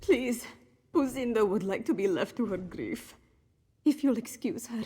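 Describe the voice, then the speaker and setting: A woman voicing a worried, grieving cat-person mother in a fantasy video game, with a husky, plaintive delivery. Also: a little high, animated, measured pace, warm tone. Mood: sorrowful, guarded. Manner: plaintive, speaks of herself in third person. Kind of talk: scripted video game dialogue.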